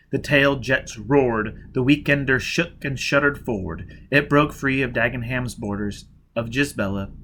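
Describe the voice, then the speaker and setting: A man narrating a novel with an expressive, dramatic delivery. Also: mid-range voice, a little hiss or hum. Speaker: audiobook reader.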